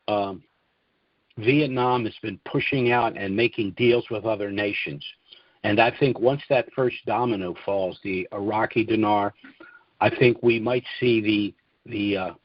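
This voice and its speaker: A middle-aged or older American man hosting a client conference call, speaking informally and in a measured way with hesitant mid-sentence pauses. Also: on the low side, no room sound. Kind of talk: informal financial monologue to clients. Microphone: conference call line. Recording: dull, dry, lo-fi conference call audio.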